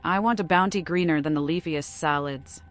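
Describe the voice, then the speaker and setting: A woman's voice: low-pitched, moderate pace, faint music behind, natural voice. A woman voicing a playful, cryptic, deranged female vampire in a video game, with an eccentric and whimsical manner. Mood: quirky, mocking. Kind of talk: modded video game voice acting.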